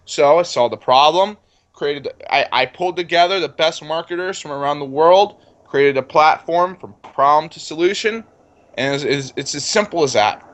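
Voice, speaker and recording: A man in his twenties with a slightly high voice pitches his business in a conversational interview. He sounds sure of himself and a bit boastful, stressing points through repetition. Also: moderate pace, fairly bright tone. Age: 20s. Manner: self-assured, boastful. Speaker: entrepreneur interview guest.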